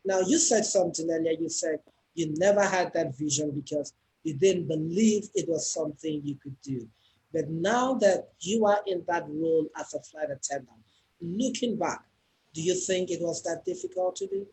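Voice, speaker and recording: A man's voice: fairly high pitch, normal speed, dark tone, poor audio. A man hosting a casual interview, encouraging the guest and summing up her answers. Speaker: show host.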